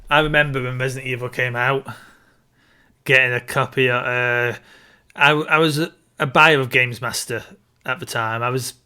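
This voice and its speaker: A man, co-hosting a casual podcast and recalling things in a halting, circling way. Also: medium pitch, steady tone, bright, forceful tone, smooth. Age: early 30s. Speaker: podcast co-host reviewing films. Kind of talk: casual chat, reminiscing.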